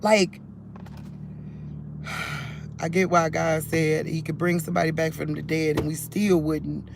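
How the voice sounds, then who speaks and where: A man's voice: on the high side, level tone, takes it slowly, gravelly, noisy background. A man vlogging about faith into his phone, talking loosely and conversationally, restarting sentences and leaning on fillers. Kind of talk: rambling religious vlog to camera.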